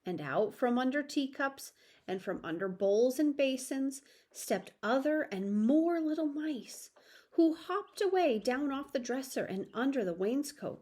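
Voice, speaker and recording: A woman's voice: high-pitched, lively intonation, measured pace, darkish tone. A woman reading a children's story aloud expressively, with asides to the listener.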